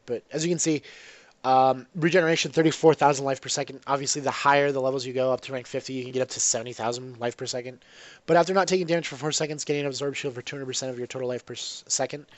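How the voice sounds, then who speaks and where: A man's voice: on the high side, no echo. A man with a congested voice from a cold, casually commenting on his own gameplay with lots of ums and restarts. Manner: rambling, self-correcting, frequent ums.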